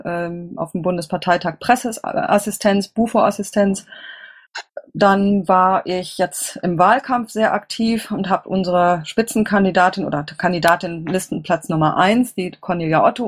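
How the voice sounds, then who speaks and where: A middle-aged German woman answering interview questions conversationally, in a halting, rambling way with self-corrections. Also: medium pitch. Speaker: interviewee, political candidate.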